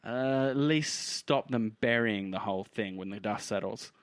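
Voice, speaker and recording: A man's voice: fairly low pitch, normal speed, no echo, close to an everyday voice. Man playing a persuasive rebel professor, close and dry. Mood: persuasive, coaxing. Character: scheming rebel professor giving orders, profane, persuasive.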